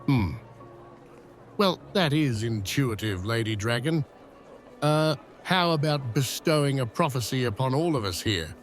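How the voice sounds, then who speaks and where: A man playing a grand, excitable Roman emperor in a fantasy audio drama, given to flustered stammering. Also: mid-range voice, unhurried, close to an everyday voice. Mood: curious, playful. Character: excitable, easily swayed male Roman emperor. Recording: faint music underneath. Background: music.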